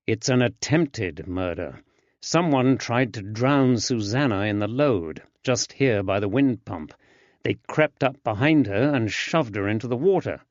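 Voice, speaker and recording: Male reader playing a patient, shrewd detective, measured and even; storybook, dry close sound. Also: lowish voice, dry recording. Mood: calm. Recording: dull lo-fi, dry.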